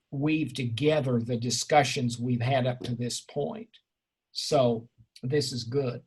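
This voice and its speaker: A man leading an informal seminar discussion as the instructor, effusive and erudite, rambling and joking, with a slightly husky voice. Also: fairly high pitch. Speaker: instructor leading an online class session.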